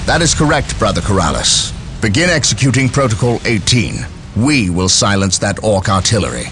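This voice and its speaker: An actor playing a grave, commanding space marine captain in a video game cutscene, speaking in a measured, formal way. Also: male voice, lowish voice, very animated, noisy background. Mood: urgent command. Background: background music.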